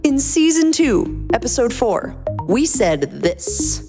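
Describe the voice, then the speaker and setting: A woman hosting and narrating a fan fiction podcast with animated, scripted storytelling. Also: fairly high pitch, takes it slowly, over music.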